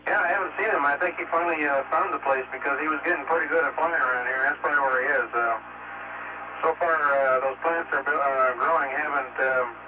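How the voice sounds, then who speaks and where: A man talking as an astronaut to ground control, relaxed and chatty with many fillers. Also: on the high side, fairly quick. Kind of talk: radio exchange with ground control. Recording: noisy dull radio link.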